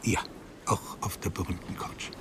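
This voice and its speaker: An actor playing a dignified, discreet professor of psychoanalysis in a German radio crime drama, speaking carefully and formally. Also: male voice, normal speed, fairly bright tone, slight background noise, natural voice. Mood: guarded, grave.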